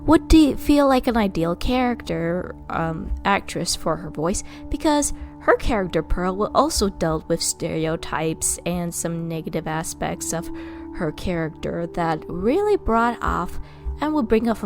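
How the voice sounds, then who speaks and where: A woman's voice: fairly low pitch, lively intonation, measured pace, vocal fry, darkish tone. A woman giving a casual, rambling voice-over commentary as a fan narrator, stumbling over names. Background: background music.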